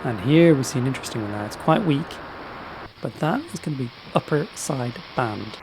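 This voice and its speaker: A man's voice: mid-range voice, lively intonation, a little fast. A man giving a casual screen-share tutorial, thinking aloud and explaining step by step in an informal, exploratory way. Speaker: tutorial presenter. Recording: shortwave radio noise and chatter behind. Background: radio static, signals and faint voices.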